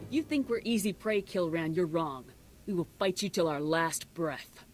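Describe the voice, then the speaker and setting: A woman's voice: on the low side, animated, normal speed, close to an everyday voice. An actress playing a defiant female Republic soldier, firm and resolute, in a sci-fi video game. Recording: faint music behind the voice.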